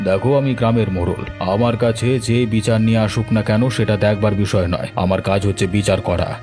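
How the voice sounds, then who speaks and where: A man's voice: fairly low pitch, fairly even intonation, fast, a little mellow, natural voice. A man playing a stern, authoritative village headman in a cartoon, speaking formally. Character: stern, measured male village headman and judge. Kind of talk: dubbed cartoon dialogue. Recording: music bed behind the voice.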